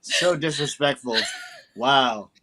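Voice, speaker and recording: A man with an ordinary mid-range voice chats easily on a podcast. He talks in a relaxed, slangy way and jumps in fast with reactions. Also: mid-range voice, a little hiss or hum. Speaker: podcast co-host. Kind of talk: casual chat.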